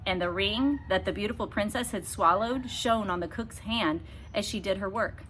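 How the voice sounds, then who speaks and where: A woman reading a children's book aloud expressively, with emphatic phrasing and dramatic pauses. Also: mid-range voice, fairly bright tone, poor audio. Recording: faint background noise.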